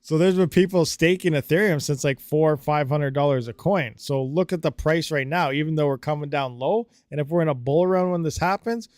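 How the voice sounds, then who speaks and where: Rambling higher-voiced man streaming live. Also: brisk, hardly any pauses, darkish tone, no echo, clean studio sound. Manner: rambling and conversational, lots of fillers. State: slightly dry throat. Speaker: livestream host. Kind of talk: casual live-stream chat, answering viewer comments.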